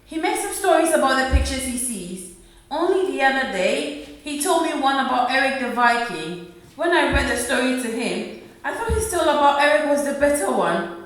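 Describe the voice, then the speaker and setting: A woman's voice: high-pitched, big pitch swings, normal speed. A woman playing a caring, anxious young working-class mother in a radio play, speaking politely and earnestly. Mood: earnest, fond. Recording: distant, very echoey room recording.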